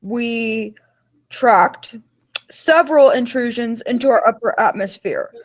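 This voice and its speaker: A woman playing a grizzled, stubborn male meteorologist and veteran, deliberate and official in a mock radio interview. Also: fairly high pitch, poor audio.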